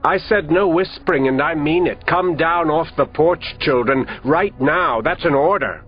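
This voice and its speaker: A man playing a cold, commanding villain doctor in an audiobook, speaking calmly and with authority. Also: on the high side, measured pace, close to an everyday voice. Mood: cold menace. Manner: calm, authoritative, threatening. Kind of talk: audiobook character reading. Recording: lo-fi audio with faint music.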